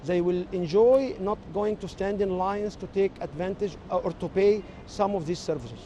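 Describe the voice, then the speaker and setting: A man with an Egyptian accent and native Arabic, giving measured, explanatory interview answers as a technology expert. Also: sits high, dark tone, dull audio, slight background noise.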